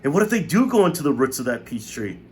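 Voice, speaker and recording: A man's voice: mid-range voice, very animated, fast, slight background noise, pitched above the performer's own voice, lightly acted. A man voicing a worried young orphan boy thinking aloud. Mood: anxious.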